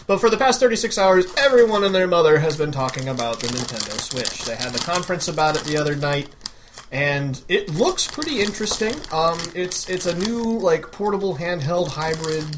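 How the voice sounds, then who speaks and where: A man's voice: on the high side, lively intonation, moderate pace. A man hosting a podcast, explaining things in a casual, conversational way. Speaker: podcast host. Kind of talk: casual chat. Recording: clear background noise, clicks.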